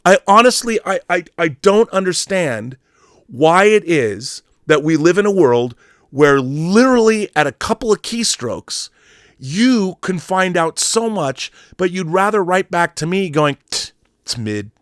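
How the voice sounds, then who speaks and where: Animated middle-aged American man, exasperated and emphatic. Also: high voice, a little slow, no room sound, clean studio sound. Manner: rambling, acts out imagined dialogue. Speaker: online show host. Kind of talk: casual opinionated monologue rant.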